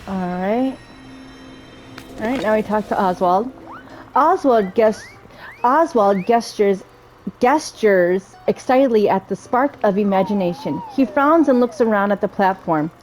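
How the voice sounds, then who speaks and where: A woman's voice: medium pitch, expressive, unhurried, darkish tone, low-quality recording. A woman casually commentating a video game as its host, chatting and thinking aloud and sometimes reading the on-screen text.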